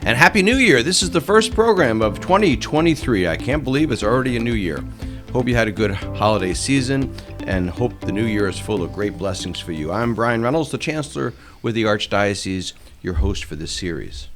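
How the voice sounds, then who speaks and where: A middle-aged man with a lowish voice hosts a church podcast, welcoming guests in a friendly way. His pitch rises and falls a great deal as he talks, and he chuckles and restarts small phrases as he goes. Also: animated, a little fast, music in the background. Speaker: podcast host, diocesan chancellor.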